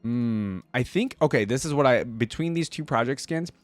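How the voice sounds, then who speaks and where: A man's voice: medium pitch, expressive, normal speed, no room sound, faint music behind. A man giving casual, enthusiastic reaction commentary as a video host, informally thinking aloud as he goes.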